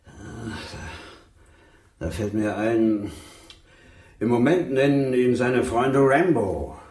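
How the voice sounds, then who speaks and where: A man's voice: mid-range voice, takes it slowly, darkish tone, lightly acted. A man acting a sleazy, languid street informant in a radio drama, sly, drawling and amused. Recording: echoey room, distant from the mic.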